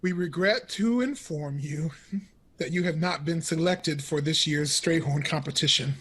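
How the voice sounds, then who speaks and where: A woman's voice: sits low, a little slow. A woman playing a resentful, dutiful older sibling in an audio drama, sarcastic and defensive. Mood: subdued.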